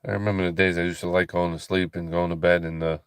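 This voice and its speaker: A tired man hosting a late-night ministry livestream, talking slowly and softly with a calm, relaxing voice, with many pauses and restarts. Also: low-pitched, clear, resonant tone, no echo, clean studio sound. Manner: slow, halting, soft-spoken, many fillers and restarts. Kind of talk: casual late-night livestream chat with viewers.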